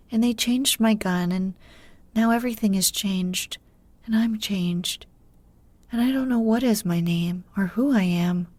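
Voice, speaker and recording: Woman playing a plaintive, bewildered old man, raised pitch. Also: a little mellow, no echo. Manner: plaintive, humble, pleading. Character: bewildered, despairing poor old man with long beard. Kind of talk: storybook character voice.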